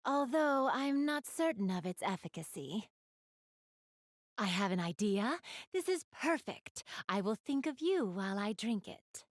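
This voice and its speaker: Casting: elegant young goddess for a video game, proper and gently teasing, fairly high voice, unhurried, dry studio sound. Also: female voice, no room sound. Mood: musing, then playful, teasing. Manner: polite, elegant, formal diction. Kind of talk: video game voice acting, speaking to the player.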